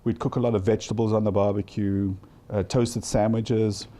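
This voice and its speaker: A middle-aged man with a South African accent answers interview questions. He speaks in a lowish, mellow voice. He is thoughtful and often restarts and repeats his words. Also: level tone, normal speed. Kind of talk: casual interview.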